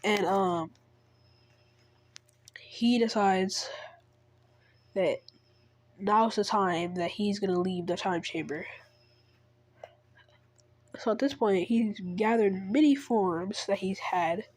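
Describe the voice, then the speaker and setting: A woman's voice: medium pitch, slow, pauses often, darkish tone, no room sound. A woman who is sick, casually retelling an invented story in a rambling way, with many fillers and restarts. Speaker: fan storyteller.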